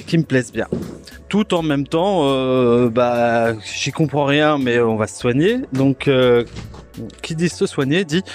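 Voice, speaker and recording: Guy hosting a chill solo podcast, lots of ums and restarts, faint music behind. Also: medium pitch. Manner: hesitant, full of filler sounds and restarts; relaxed, self-mocking. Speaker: podcast host. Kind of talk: casual solo podcast chat about music.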